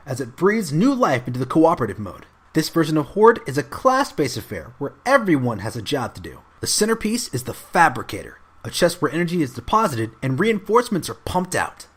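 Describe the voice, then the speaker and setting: A man delivers a scripted video game review voice-over. His voice sits a little high, and his intonation moves up and down in an animated way as he reads. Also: slightly rough. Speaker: voice-over narrator.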